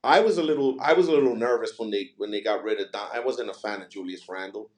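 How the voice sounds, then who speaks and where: Low-voiced New man, discursive interviewer. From New York City, United States. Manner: discursive, halting, repeats phrases as he frames questions. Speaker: podcast host and interviewer. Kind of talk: conversational interview.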